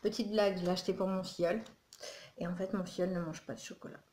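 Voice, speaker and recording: A woman's voice: on the low side, steady tone, fast talker, noisy background. Chatty woman vlogging in an echoey room. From northern France. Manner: chatty, rambling with many fillers and asides. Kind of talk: casual haul vlog. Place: home room with Christmas tree behind.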